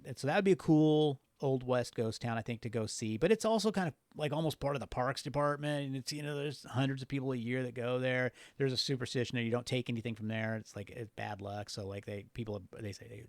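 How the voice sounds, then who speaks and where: Casting: male podcast guest, mid-pitched, loose and profane conversational storyteller, dry recording. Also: vocal fry, no room sound. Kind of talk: casual, rambling conversational storytelling.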